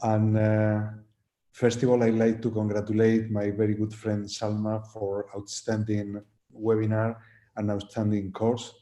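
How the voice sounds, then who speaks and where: A man speaks politely on a webinar, halting and full of fillers. His voice is low, warm and fairly monotone. The call audio sounds roomy and echoey. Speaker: webinar panelist. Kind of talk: formal remarks on a webinar. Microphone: video call.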